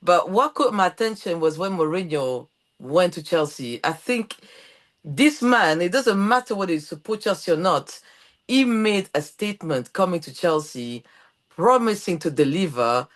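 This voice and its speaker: A woman from Portugal with a Portuguese accent, hosting a podcast interview and telling stories in a chatty, animated way. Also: lowish voice, normal speed. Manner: animated, storytelling with quoted voices. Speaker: podcast host. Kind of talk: casual interview chat.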